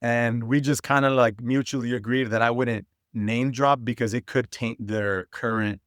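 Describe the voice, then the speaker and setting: A man's voice: mid-range voice, fairly even intonation, moderate pace, no room sound, studio quality. A man from Southern California telling personal stories as an interview guest, in an informal and earnest conversational way. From Santa Ana, California. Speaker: interview guest, youth soccer advocate.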